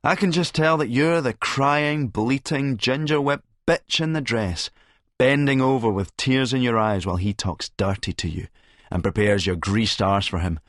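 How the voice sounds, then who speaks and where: A cocky Scottish schemer, acted by a man for an audiobook. He is smooth-talking, theatrical and taunting, pitched up a little from a low register. The sound is dry and close. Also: moderate pace, a bit bright, no echo, natural voice. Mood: mocking.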